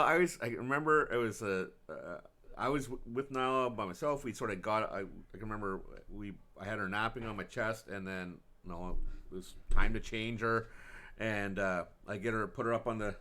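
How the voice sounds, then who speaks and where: A man's voice: fairly low pitch, moderate pace, pushed and bright. A man co-hosting a casual chat about parenting, who rambles and restarts his sentences. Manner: rambling, restarts and laughs often. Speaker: podcast co-host.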